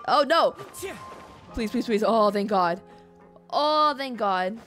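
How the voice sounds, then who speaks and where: A woman's voice: fairly high pitch, expressive, slow pace, a bit bright. Woman livestreamer casually commenting on a game. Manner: reactive, conversational, playful. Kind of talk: casual live gaming commentary. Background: faint game music.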